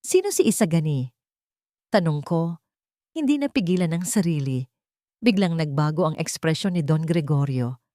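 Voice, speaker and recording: A woman's voice: low-pitched, expressive, moderate pace, warm tone, no room sound, studio quality. A woman narrating a scripted romance story in the first person, in a dramatic style.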